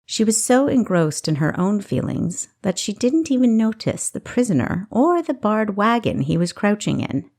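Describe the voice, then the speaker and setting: A woman's voice: medium pitch, very animated, normal speed, few pauses, a little mellow. A woman narrating a novel as an audiobook, reading expressively from a script.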